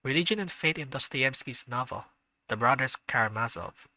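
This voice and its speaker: A man voicing a sharp-tongued teenage schoolgirl in a visual novel, with clipped, sarcastic retorts. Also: medium pitch, animated, moderate pace, pitched above the performer's own voice, low-quality recording. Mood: curt.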